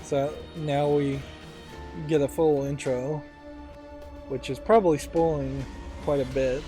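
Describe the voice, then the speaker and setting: A man's voice: a little high, steady tone, takes it slowly, dark tone. A man giving casual, musing, analytical commentary as he plays a video game. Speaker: let's play host. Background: game music and voices.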